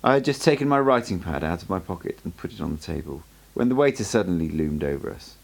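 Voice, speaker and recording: Gravelly deep-voiced male audiobook narrator. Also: fast. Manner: steady storytelling read. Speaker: audiobook reader.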